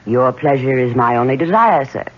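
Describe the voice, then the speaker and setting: An actress playing a poised, coy secretary, polite and flirtatious, in 1970s radio drama style. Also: female voice, rumbling low, animated, moderate pace. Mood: coy, teasing. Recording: dull noisy 1970s radio recording.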